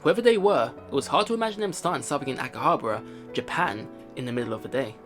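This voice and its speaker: A man's voice: mid-range voice, lively intonation, racing, fairly bright tone, faint music behind. A man hosting a let's play, casually commenting and reading story text aloud, rambling and repeating himself as he thinks aloud. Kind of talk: casual game commentary mixed with reading visual novel narration aloud.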